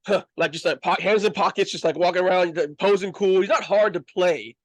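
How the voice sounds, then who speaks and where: A man's voice: high-pitched, a little fast, no echo. A man hosting a casual fan review chat, speaking in an emphatic, slangy and enthusiastic way. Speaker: host of a review show.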